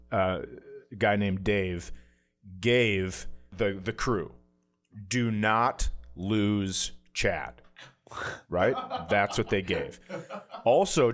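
A man telling a casual comic story in a self-deprecating way, acting out what people said as he goes. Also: fairly low pitch. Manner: self-deprecating, animated anecdote telling with acted-out quotes. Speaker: comedian podcast guest telling a story.

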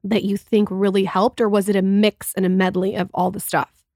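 A woman co-hosts a podcast, asking curious, probing questions. Her voice is mid-pitched and her phrasing measured. The sound is dry and close. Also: little pitch movement, darkish tone, no room sound. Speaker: podcast co-host. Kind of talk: interview questions.

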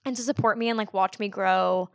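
A woman's voice: medium pitch, fairly even intonation, brisk, creaky, dry recording, studio quality. A woman in her twenties talking as a podcast guest, chatty and casual, filling her speech with "like". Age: 20s. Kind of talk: casual conversational interview.

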